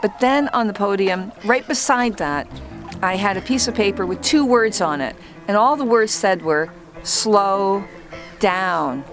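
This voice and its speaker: A woman who once worked as a trial lawyer, coaching viewers on public speaking in a calm, deliberate and well-projected voice. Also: medium pitch, music in the background. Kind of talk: instructional talk to camera.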